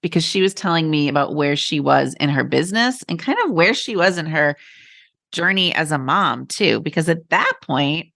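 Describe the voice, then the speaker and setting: Casting: female podcast host, lowish voice with plenty of fry, informal and chatty, dry close sound. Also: animated, normal speed, a bit bright, no echo. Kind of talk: casual conversational interview.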